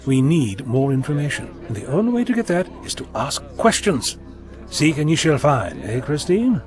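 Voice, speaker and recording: A man plays a wry, talkative time-travelling adventurer in an audio drama. His voice is fairly high and very animated, flippant and inquisitive, over faint music. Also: moderate pace. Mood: curious, wry.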